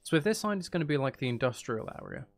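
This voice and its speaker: A man's voice: mid-range voice, big pitch swings, quick pace. A man casually chatting on a live game stream, musing aloud and talking to viewers as he plays. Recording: faint background noise.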